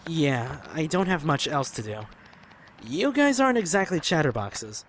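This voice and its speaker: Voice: mid-range voice, sing-song, normal speed, a little hiss or hum, natural voice. An actor playing a bookish, easygoing traveler in an audio drama, casual and conciliatory. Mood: mild, curious.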